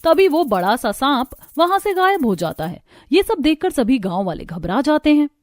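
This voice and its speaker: A woman's voice: high-pitched, big pitch swings, moderate pace, no room sound, clean studio sound. A woman reading scripted narration for a cartoon village story. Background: background music.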